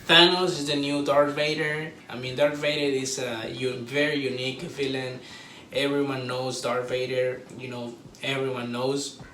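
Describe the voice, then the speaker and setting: A man giving a casual, excited fan review to camera, rambling informally and repeating himself. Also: medium pitch, moderate pace. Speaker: video creator reviewing a film. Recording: roomy echo, distant mic, faint noise.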